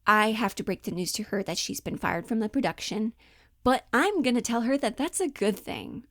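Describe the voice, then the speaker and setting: A woman's voice: on the high side, dry recording, clean studio sound. A woman giving casual, explanatory commentary as an acting coach, candid and informal in how she talks. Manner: candid, informal, mildly sweary. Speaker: acting coach and monologue performer.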